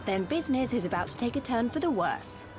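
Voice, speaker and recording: A woman's voice: fairly high pitch, lively intonation, brisk, music in the background, lightly acted. A woman in a game cutscene speaking in a tough, defiant way. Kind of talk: video game cutscene acting.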